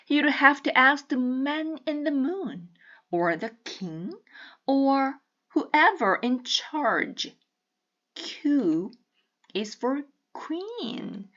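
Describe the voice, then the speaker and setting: A woman reading a children's picture book aloud with deliberate, teacherly enunciation. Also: sits high, big pitch swings, fairly bright tone, highs cut off. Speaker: storybook reader.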